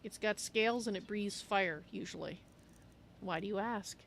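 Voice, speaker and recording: A woman's voice: mid-range voice, moderate pace, creaky, lightly acted. Woman playing a courteous cat-folk adventurer woman, faint noise. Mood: polite, curious. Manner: refers to herself in the third person, courteous. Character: polite female Khajiit cat-folk adventurer, chirpy and courteous.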